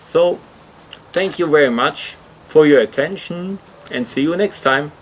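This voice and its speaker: Slow-talking man explaining in a vlog. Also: fairly high pitch, slight background noise. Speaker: video host, hobby motorcycle customizer.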